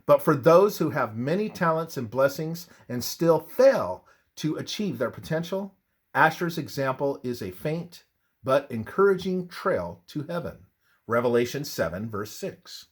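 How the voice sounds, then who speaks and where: A man narrates a religious text in a husky, mid-pitched voice. His pace is slow and measured, and his intonation moves widely up and down. The delivery is solemn and preacherly, clearly read from a script. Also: very animated.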